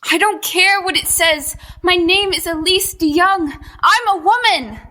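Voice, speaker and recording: A woman's voice: very high voice, a little slow, sharp tone, heavily acted. An actress playing a frightened young woman trapped in a man's body, pleading and desperate.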